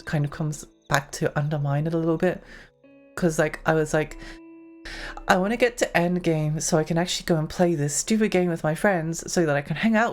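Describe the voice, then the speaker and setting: A young woman streaming a game, chatting in a rambling way with lots of fillers and giggles, her voice getting hoarse. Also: fairly low pitch. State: losing her voice. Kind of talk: casual live-stream chat while gaming.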